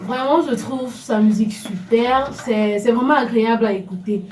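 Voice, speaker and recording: A woman's voice: fairly high pitch, moderate pace, a little mellow, distant mic. A woman studio guest speaking enthusiastically, repeating herself. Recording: echoey room, from afar.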